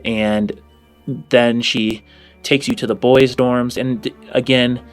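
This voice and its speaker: Halting male game master over soft music. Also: mid-range voice, flat delivery, clean tone. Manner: halting, rephrasing as he describes. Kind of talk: casual improvised tabletop game narration.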